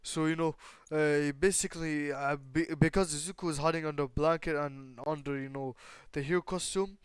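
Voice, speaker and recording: Clear-voiced man casually rambling through a story. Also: fairly high pitch, fairly even intonation, dry recording, studio quality. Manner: rambling, halting, casual swearing. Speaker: storyteller and host of a fan what-if channel. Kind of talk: casual improvised retelling of anime fan fiction with acted dialogue.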